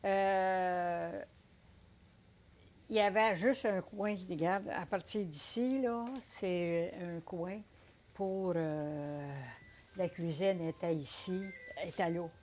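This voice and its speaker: An older woman with a Québécois accent, telling her story in a casual interview, unhurried and hesitant, full of fillers. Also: lowish voice, fairly even intonation, a little mellow. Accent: Québécois French. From Quebec. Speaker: interviewee, entrepreneur.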